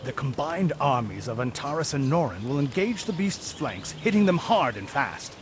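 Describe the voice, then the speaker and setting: An actor playing a proud, defiant noble war leader in a video game cutscene, speaking with stirring command. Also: male voice, medium pitch, sing-song, normal speed, noisy background, natural voice. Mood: scheming. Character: bold male noble warlord, proud and defiant.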